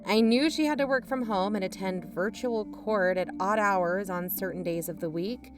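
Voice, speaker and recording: A woman playing an investigating aunt who narrates in a measured, explanatory way. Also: mid-range voice, over music, natural voice. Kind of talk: scripted audio drama narration.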